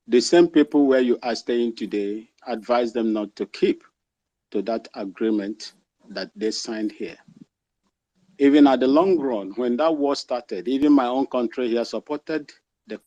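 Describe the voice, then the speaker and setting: A man with a Ghanaian accent leads an online discussion, speaking slowly and deliberately and stretching key words for weight. His voice sits at an ordinary middle pitch and sounds dry and close, with no room around it. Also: dark tone, no echo, rough recording. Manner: measured, deliberate, slows for emphasis.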